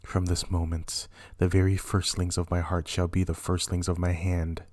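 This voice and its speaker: A man's voice: deep voice, monotone, moderate pace, a little mellow, dry recording, studio quality. A man playing a tormented, imperious and ruthless king, declaiming weightily in a dramatic Shakespeare reading. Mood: grimly resolved.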